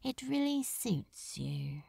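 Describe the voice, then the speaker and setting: A woman's voice: fairly high pitch, sing-song, takes it slowly, creaky, mellow, no echo, studio quality, heavily acted. A woman voicing a male demon lover with a casual, hissing murmur in an audiobook. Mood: fond. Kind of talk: audiobook character acting.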